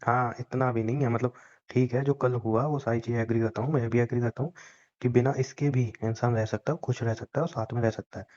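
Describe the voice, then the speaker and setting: A man's voice: medium pitch, fairly even intonation, brisk, darkish tone. Young man teasing on a dull phone line. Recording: dull lo-fi phone call recording.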